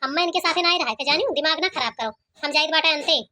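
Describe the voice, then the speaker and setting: A woman's voice: high-pitched, fast, pushed and bright. A woman voicing a complaining, stubborn young village groom in an Awadhi-accented cartoon comedy. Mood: exasperated. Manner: complaining, argumentative.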